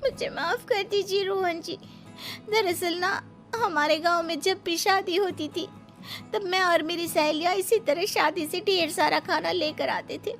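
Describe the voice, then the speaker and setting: A very high, sharp-edged young village wife acted by a woman from a cartoon story. She is plucky and practical, speaks in an emphatic rustic way, with faint music behind her. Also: a little slow, exaggerated voice. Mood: apologetic.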